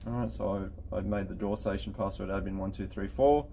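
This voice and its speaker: A middle-aged man with a lowish, very mellow voice walks through a technical setup step by step. His delivery is informal and matter-of-fact, like an installer showing the job at his desk. There is some noticeable background noise. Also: steady tone, a little fast, creaky. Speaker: intercom installer giving a software setup tutorial. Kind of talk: casual step-by-step screen tutorial. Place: indoor office at a desk with computer.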